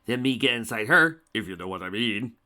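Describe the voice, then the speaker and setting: An insane male alicorn villain snickers and taunts his foe in a fanfic reading, as a man puts him on. His voice swings widely from low sneers to high mockery. The tone stays bright and sharp. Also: lively intonation, brisk. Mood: taunting.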